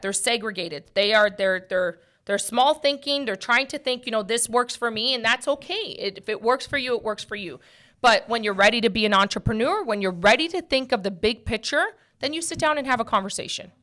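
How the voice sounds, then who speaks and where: A woman in her forties with a fairly high, bright, forceful voice. She hosts a casual talk show, speaking bluntly and straight to her listeners, and repeats phrases to drive them home. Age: early 40s. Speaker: podcast host and mortgage brokerage owner.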